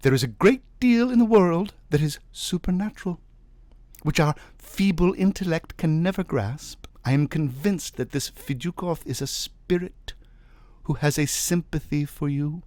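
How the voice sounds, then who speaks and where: A man voicing an earnest, self-assured spiritualist wife in a storybook reading, breathy, insistent and knowing. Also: a little high, measured pace, darkish tone, dry recording, pitched above the performer's own voice, close to an everyday voice.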